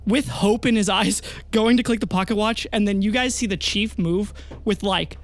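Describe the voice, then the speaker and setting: High-voiced man narrating a tabletop game. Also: normal speed, dry recording. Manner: informal, sweary storytelling. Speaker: game master. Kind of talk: dramatic narration of a tabletop role-playing game. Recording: music under the voice.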